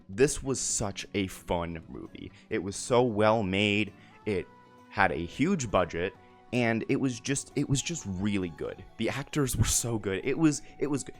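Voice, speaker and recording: A young man giving casual, opinionated commentary to viewers in an enthusiastic, emphatic way, often repeating his phrases. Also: lowish voice. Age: young adult. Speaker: video essay host. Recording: music bed behind the voice.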